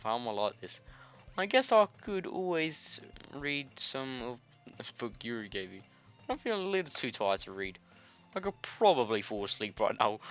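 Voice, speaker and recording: A man's voice: lowish voice, a bit bright. A man playing a game on a recording, reading its dialogue aloud and adding crude, jokey, informal asides. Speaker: gamer doing a let's play. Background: game music.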